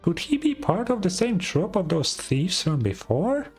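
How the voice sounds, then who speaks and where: A man voicing a small, chatty female fairy companion from a game, excitable and a bit suspicious. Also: high voice, unhurried, slightly rough, soft background music, pitched above the performer's own voice, heavily acted. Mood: puzzled. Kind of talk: game dialogue read in character.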